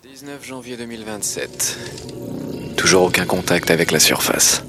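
A man playing an isolated deep-sea station keeper, speaking a subdued, measured logbook monologue in an audio drama. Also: expressive, lightly acted. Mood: subdued, brooding. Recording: music behind the voice.

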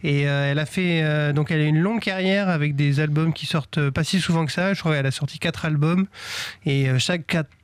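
Chatty man presenting music, slightly high voice. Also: a little fast, clear, resonant tone, dry recording. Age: 30s. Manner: rambling, hesitant, frequent fillers and restarts. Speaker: radio music show co-host. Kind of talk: casual chat presenting music.

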